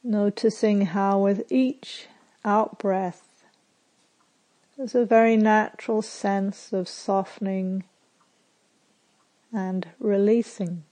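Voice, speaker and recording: A woman meditation teacher leading a guided meditation in a soothing, measured way, with pauses and phrases that end softly. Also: medium pitch, fairly even intonation, rough recording.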